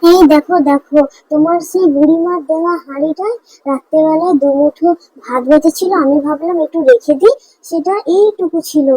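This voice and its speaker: A woman plays a high-pitched village wife in a cartoon fairy tale. She is caring and excitable, fussing over her husband with an expressive delivery. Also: rough recording. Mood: astonished.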